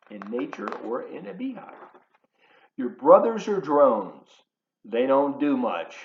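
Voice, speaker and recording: Male children's storyteller, playful and animated in intonation, addressing kids directly. Roomy, slightly dull home-video sound. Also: mid-range voice. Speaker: volunteer children's book reader. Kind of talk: read-aloud of a picture book for young children, with asides. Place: living room at home.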